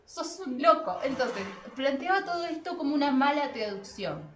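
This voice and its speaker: An expressive, emphatic woman with an Argentine accent, talking casually as a podcast host. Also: sits high, normal speed. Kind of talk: casual podcast discussion about translation. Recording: echoey room with faint noise.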